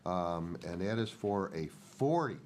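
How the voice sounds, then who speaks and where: Older American male board chair, deep voice, congested nose, procedural yet casual delivery, noisy meeting room recording. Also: lively intonation, unhurried, a little mellow. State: nasal from a head cold. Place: municipal board meeting hall.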